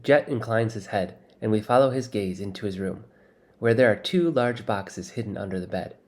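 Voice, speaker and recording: A man narrates a children's story in the first person. His voice is fairly low with a strong creaky fry, and the reading is scripted and expressive. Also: moderate pace. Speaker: audiobook reader.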